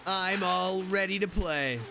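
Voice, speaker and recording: A man doing casual gaming commentary, chatting excitedly to himself and his game character. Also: sits high, slow pace. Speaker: streamer, video game let's player. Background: game music.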